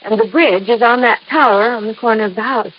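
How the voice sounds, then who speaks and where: A muffled vintage radio play recording of an actress playing a spirited young American woman. She is earnest and coy, with a husky edge to her dramatic, anxious delivery. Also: a little high, a little fast, natural voice. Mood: polite, confiding. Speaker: actress in a radio detective series.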